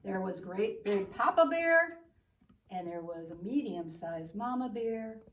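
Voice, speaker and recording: A woman tells a children's story as a preschool teacher would, expressive and exaggerated. Her pitch swings widely and her pace is unhurried. The sound is roomy, from a little way off the microphone. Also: recorded from a distance, slight background noise.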